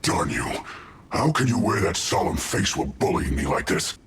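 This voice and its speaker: An actor playing a bullied, indignant soldier in a video game, pleading in an exasperated, theatrical way. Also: male voice, low-pitched, big pitch swings, normal speed, fairly bright tone, a little hiss or hum.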